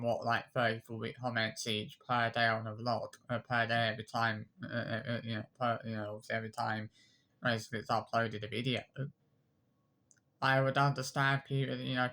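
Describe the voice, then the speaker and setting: A man's voice: medium pitch, pushed and bright. A man, ranting defensively to camera, repeating himself and listing insults. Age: 20s. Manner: repetitive, restarts phrases, lists insults; aggrieved, defensive. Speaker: YouTube commenter. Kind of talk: unscripted rant to camera.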